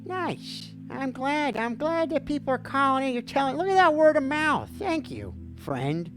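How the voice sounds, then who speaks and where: A woman with a gravelly voice hosts a playful call-in stream, posing as a dreamy mock therapist. Her fairly high voice swoops wildly up and down in a theatrical, goofy way. Music plays clearly in the background. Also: sing-song, measured pace. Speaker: livestream call-in show host. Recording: music playing behind the voice.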